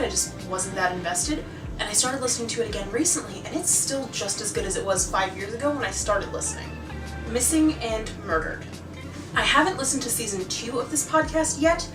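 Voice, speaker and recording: An American woman hosting a casual, scripted recommendation vlog in a conversational, enthusiastic way. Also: fairly high pitch. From United States. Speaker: video host. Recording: roomy, distant mic, music behind.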